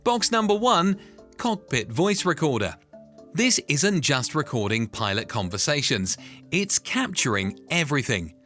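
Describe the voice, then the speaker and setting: A man delivers scripted explainer narration with dramatic emphasis and a lively, swinging intonation. His voice sits fairly high, and he builds suspense as he goes, with music underneath. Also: measured pace.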